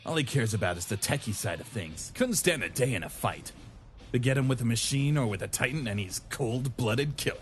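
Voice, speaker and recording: A man playing a cocky, hot-tempered young soldier with a creaky voice and a taunting, sadistic manner in a sci-fi drama. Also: mid-range voice, lively intonation, a little fast, soft background music, lightly acted. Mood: smug.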